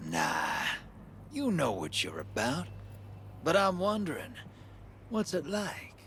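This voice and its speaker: An easygoing scoundrel of a game world. He ambles through his lines in a folksy, wry drawl. The pitch swoops freely, and faint noise sits behind him. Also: huge pitch swings, slow pace, a bit bright, heavily acted. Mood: wry, curious. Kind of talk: video game character acting.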